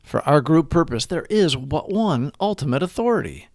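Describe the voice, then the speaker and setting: A man hosting a daily recovery reading, delivering scripted reflections with deliberate, emphatic phrasing. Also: fairly high pitch, lively intonation, gravelly, no echo, clean studio sound. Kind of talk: scripted reflective read-along narration.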